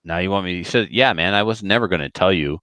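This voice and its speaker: A man with a low voice talks casually on a podcast. He rambles in everyday language and likes to act out what people said. The recording is dry and close, with little room sound. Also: fast, sharp tone, no echo. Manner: rambling, colloquial, with acted-out quotes. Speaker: podcast speaker who organizes shooting matches.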